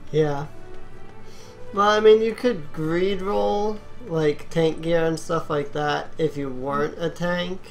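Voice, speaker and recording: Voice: medium pitch, fairly even intonation, takes it slowly. A gaming video host chatting casually during gameplay, explaining at length in a rambling, anecdotal way. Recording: game music behind voice.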